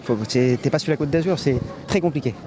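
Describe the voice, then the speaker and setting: A man's voice: a little high, quick pace, background noise. A middle-aged man from France, stopped in a city street for an interview, speaking in a worried, insistent way and repeating himself.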